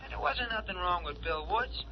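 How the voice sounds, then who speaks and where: An actor playing a dim, eager working-class sidekick in slangy, ungrammatical speech, in old radio drama style. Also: male voice, high-pitched, lively intonation, moderate pace. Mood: eager, chatty. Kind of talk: 1940s radio acting. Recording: noisy, muffled old radio recording.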